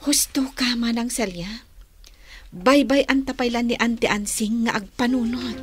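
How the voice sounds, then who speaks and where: High-voiced, concerned young lady. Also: unhurried, breathy edge, no room sound. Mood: resigned. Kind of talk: Ilocano radio drama acting.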